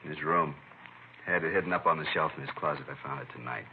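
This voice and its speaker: A helpless, distraught American father in a vintage radio drama. His voice is very low and his speech halting and troubled. The recording is muffled, with faint noise. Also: gravelly. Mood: despairing. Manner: halting, upset. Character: distraught middle-aged father, anguished and helpless. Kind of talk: 1950s radio drama acting.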